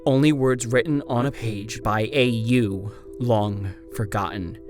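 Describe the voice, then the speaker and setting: A man playing an amnesiac old-time detective, narrating a grim first-person account in a horror audio drama in a measured, solemn way. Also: medium pitch, music in the background, lightly acted. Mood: mournful. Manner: measured, solemn, literary delivery. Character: amnesiac former Pinkerton detective writing a grim final account.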